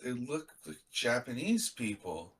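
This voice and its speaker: A young man from Canada, co-hosting a live reaction stream in a blunt, animated, casual way, swearing now and then. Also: mid-range voice, unhurried, far from the mic.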